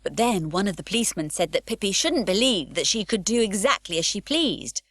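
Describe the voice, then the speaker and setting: Lively woman reading a storybook. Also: mid-range voice, brisk, no echo, clean studio sound. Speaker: audiobook narrator. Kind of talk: scripted storybook narration.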